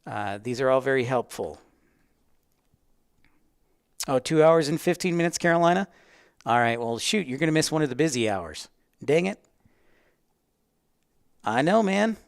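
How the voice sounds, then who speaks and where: Clear-voiced man hosting a casual livestream tutorial. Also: medium pitch, animated, moderate pace, pauses often, no echo, studio quality. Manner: chatty, informal, addresses viewers directly. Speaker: livestream host teaching plane-spotting tools.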